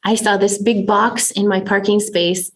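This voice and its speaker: A woman's voice: medium pitch, flat delivery, unhurried. A woman from Canada speaking casually and enthusiastically as an interview guest, with a bubbly, giggly manner.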